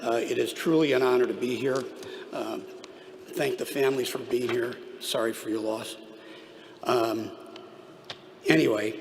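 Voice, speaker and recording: Gravelly-voiced man speaking in an echoey hall. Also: mid-range voice. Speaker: airline trade association president giving remarks. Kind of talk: prepared podium speech. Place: large echoing hall at a public event. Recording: echoey hall, clear background noise.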